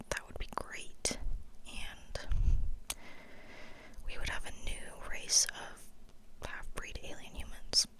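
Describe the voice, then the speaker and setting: A woman whispering a chatty, tongue-in-cheek ASMR monologue full of internet slang, sometimes repeating phrases slowly. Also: poor audio. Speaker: ASMR video creator.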